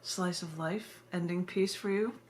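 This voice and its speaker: A woman's voice: lowish voice, steady tone, unhurried. An American woman hosting a live online poetry reading, sharing poems and casual asides with her viewers. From United States. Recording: noisy live video stream.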